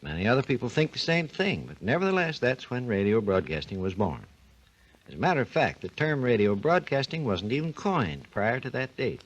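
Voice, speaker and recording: A man with a somewhat husky voice explains technical history in an even, lecturing manner. His pitch moves freely and expressively as he talks. The sound is an old 1940s radio recording. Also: a little fast, muffled recording. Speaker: research engineer guest. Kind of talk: scripted 1940s radio talk.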